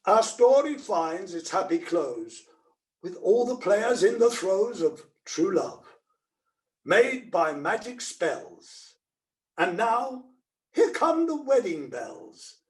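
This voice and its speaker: A man acting a grand, cheerful pantomime narrator, declaiming measured rhyming verse in an amateur theatrical style. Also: high-pitched, big pitch swings, full character voice. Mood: grand, festive. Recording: echoey, distant home video-call recording.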